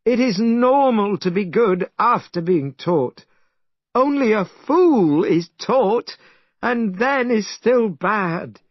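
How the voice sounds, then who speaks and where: A man's voice: high-pitched, lively intonation, takes it slowly, no echo, lo-fi sound, voice pushed up, exaggerated voice. A man voicing a stern elderly monk master who lectures his followers in an exasperated tone. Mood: scolding.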